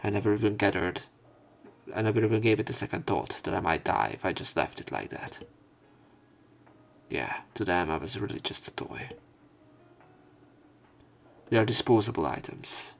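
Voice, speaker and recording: A man's voice: low-pitched, expressive, normal speed, gravelly. A man reading visual novel narration aloud in a subdued way, as a let's player.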